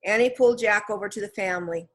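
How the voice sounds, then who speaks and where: Audiobook reader: woman, mid-pitched, expressive children's story narration. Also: fast talker.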